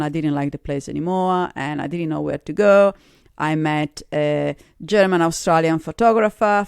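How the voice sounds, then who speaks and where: A woman's voice: low-pitched, vocal fry, clean tone, no echo, clean studio sound. A middle-aged woman from Sardinia with an Italian accent, telling her life story as an interview guest. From Sardinia, Italy. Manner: storytelling, acts out quoted people. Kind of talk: casual interview chat.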